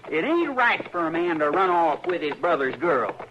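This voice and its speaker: A man's voice: fairly high pitch, big pitch swings, moderate pace. An actor playing a simple, earnest young outlaw man with plain-spoken, ungrammatical country speech, in an old radio drama. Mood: plaintive. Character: simple, hurt young outlaw man, bitter at his brother. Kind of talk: 1950s radio drama acting. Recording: old radio broadcast, dull and hissy.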